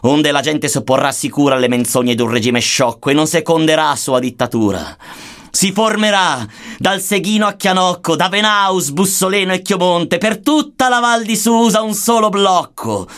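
Man solemnly declaiming verse, higher-pitched voice. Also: moderate pace, fairly bright tone. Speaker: reader of verse. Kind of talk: declaimed recitation of archaic Dantesque verse. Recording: music under the voice. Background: music.

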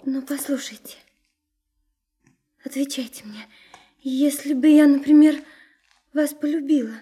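An actress in a Russian radio play, voicing a fragile, heartbroken young girl, tender and impulsive, with breathy, tremulous, urgent delivery. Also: high voice, a little slow, dark tone.

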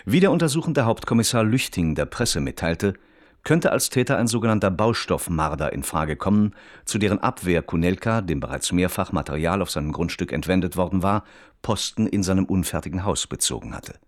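An actor as the sober, detached narrator of a German radio crime play, reading like an official report in standard German. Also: male voice, low-pitched, lively intonation, a little fast, no echo, clean studio sound. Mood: formal, factual.

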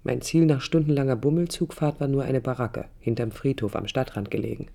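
A woman's voice: a bass voice, brisk, creaky, mellow, heavily acted. An actress playing a restless, idealistic young woman architect, narrating reflectively in a German radio drama. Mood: wistful, resolute. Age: young adult. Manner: reflective, literary, emphatic short phrases. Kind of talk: 1980s German radio drama, introspective first-person narration.

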